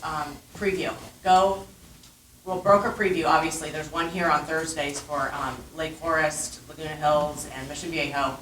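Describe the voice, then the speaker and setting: Loud-voiced woman on a panel, fired up, a bit off mic, roomy echo. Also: fairly low pitch, slight background noise. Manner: emphatic and energetic. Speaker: real estate panelist. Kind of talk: giving advice on a panel. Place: meeting room. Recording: echoey room from a distance.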